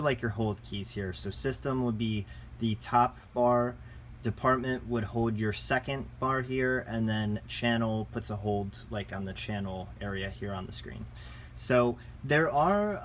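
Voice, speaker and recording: A man's voice: mid-range voice, slight background noise. A man giving a casual, unscripted product review as a shop presenter, in a conversational, enthusiast way.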